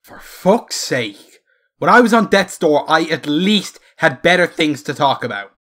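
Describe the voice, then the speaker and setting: A foul-mouthed, sarcastic companion who drawls out scorn, voiced by an actor at a middle pitch. Nothing about the delivery is loud. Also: sing-song, unhurried. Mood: scornful. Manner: sarcastic and crude. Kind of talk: fan audio drama acting.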